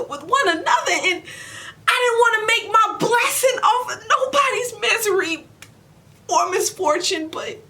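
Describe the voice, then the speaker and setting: A woman in her forties playing a weary, proud, loving mother in an emotional stage monologue, speaking deliberately with heavy pauses. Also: pushed and bright, full character voice. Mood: tearful, resolute. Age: 40s. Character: weary, proud middle-aged mother and widow, wounded but loving. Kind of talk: emotional stage monologue, self-taped audition. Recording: distant mic, noticeable low rumbling noise.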